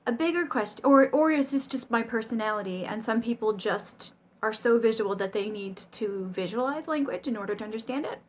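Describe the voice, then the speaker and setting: A woman's voice: a little high, fairly quick, few pauses. A middle-aged American woman chatting casually to camera about learning Chinese, rambling and self-deprecating, with frequent ums and little laughs. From United States.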